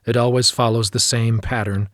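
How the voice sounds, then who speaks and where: A man with a slightly husky voice reads a memoir as an audiobook narrator, in a measured, earnest manner. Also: medium pitch, flat delivery, fairly bright tone, no room sound, clean studio sound.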